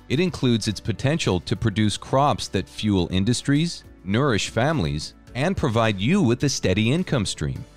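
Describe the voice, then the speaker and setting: A man reading a scripted narration in a smooth, evenly paced way. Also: mid-range voice. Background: soft background music.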